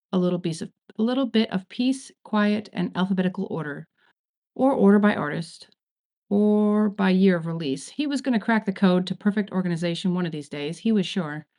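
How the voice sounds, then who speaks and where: A woman reading a story aloud in an expressive storytelling style. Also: mid-range voice. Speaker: fan fiction reader.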